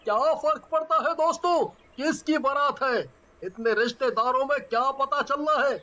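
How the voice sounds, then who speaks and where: Casting: male performer as animated young friend in a horror cartoon, piercingly high, bright tone. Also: normal speed, lo-fi sound. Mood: eager. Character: excitable young male friends on a night journey. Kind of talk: cartoon story dialogue.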